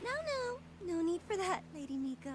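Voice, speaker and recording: A woman's voice: very high-pitched, big pitch swings, moderate pace, very noisy. A woman playing a sly, mockingly courteous female villain in a video game, with a playful, taunting, theatrical delivery. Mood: teasing, theatrical. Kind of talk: game voice acting.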